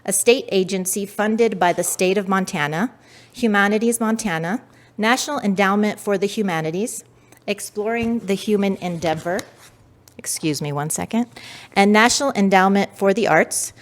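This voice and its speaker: A woman's voice: medium pitch, normal speed. A woman moderating a museum panel, reading a formal list of thanks with small slips. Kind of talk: reading acknowledgments.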